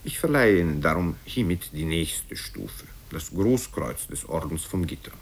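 A man's voice: expressive, moderate pace, gravelly, breathy edge, full character voice. A man voicing a curt, imperious monarch in a satirical story, commanding and condescending. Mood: grandly condescending. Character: curt, imperious male monarch of a fantasy kingdom. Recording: rumbling, noisy old radio tape.

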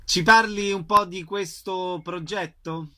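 A man's voice: high-pitched, steady tone, slow, over a noisy crowd. A man hosting a radio interview, introducing guests and putting questions to them.

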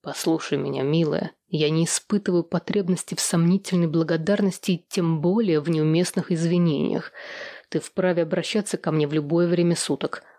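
A woman's voice: low-pitched, fairly even intonation, normal speed, mellow, no room sound, clean studio sound, pitched below the performer's own voice. A woman voicing a possessive, controlling ex-husband with a stern, commanding, menacing manner in an audiobook. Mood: cold, commanding.

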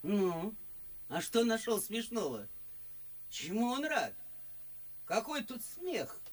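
A woman's voice: a little high, big pitch swings, takes it slowly, full character voice. An actress playing a grumpy, blunt old aristocratic lady in a theatrical radio comedy style. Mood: grumbling. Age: older. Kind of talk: classic stage comedy acting for radio.

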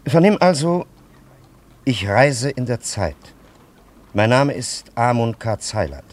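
An actor playing a solemn, condescending elderly scholar from antiquity in a radio play, with archaic and over-courteous diction. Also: male voice, mid-range voice, big pitch swings, slow pace. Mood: solemn explaining. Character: solemn, condescending ancient scholar and time traveller, elderly man.

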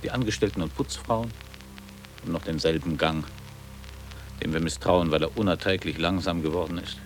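An actor playing a reflective older former boarding-school pupil in a literary radio drama, reciting in a measured, lyrical and formal way. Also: male voice, sits low, soft background music, natural voice, poor audio. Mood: grave, reflective.

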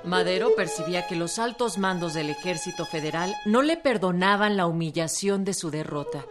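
A female narrator reads a scripted historical radio drama in a formal style. Her pitch leans low, and violin music is clearly heard underneath. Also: lively intonation, normal speed.

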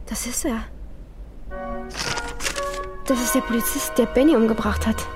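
A woman's voice: a little high, steady tone, unhurried, natural voice. An actress playing a restless, bitter young female thief in a German radio drama, with music behind her. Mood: stunned, agitated.

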